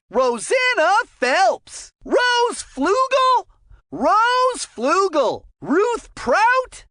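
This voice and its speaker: A woman voicing an excitable young boy who announces hockey in a cartoon video game, exclaiming names in drawn-out calls. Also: very high voice, no echo, clean studio sound, heavily acted. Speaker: sports game commentator.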